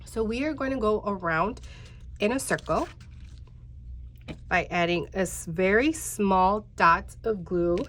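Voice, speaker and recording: A woman's voice: medium pitch, unhurried, pauses often, fairly bright tone, a little hiss or hum. A woman in her thirties, a bit congested and nasal, chatting casually to viewers while leading a step-by-step craft tutorial. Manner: chatty, talks straight to viewers, many fillers.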